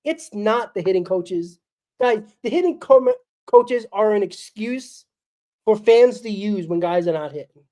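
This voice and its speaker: A woman's voice: mid-range voice, expressive, a little slow, dark tone. A woman hosts a solo postgame fan show, ranting bluntly and with exasperation while sick, her voice crackling and coughing.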